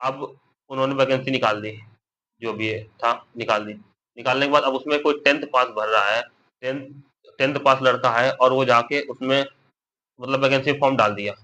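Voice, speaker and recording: A man's voice: medium pitch, steady tone, normal speed, sharp tone. A young man, slightly unwell, gives an informal explanatory update to viewers, repeating his points plainly with colloquial asides. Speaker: exam news vlogger. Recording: dull lo-fi audio, faint background noise.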